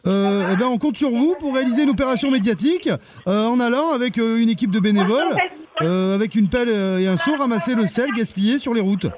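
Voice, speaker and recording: Man playing high-pitched, hesitant charity director. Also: quick pace, background noise. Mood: earnest, hesitant. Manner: hesitant, polite, deadpan insistence. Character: earnest, bumbling male charity deputy director. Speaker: prank caller. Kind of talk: prank phone call.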